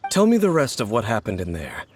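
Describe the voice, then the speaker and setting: An actor playing a mysterious, grave wanderer who hunts the Abyss, speaking in a measured and cryptic way in video game voice acting. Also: male voice, on the low side, wildly swooping pitch, slight background noise. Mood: solemn. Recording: game audio with loud music.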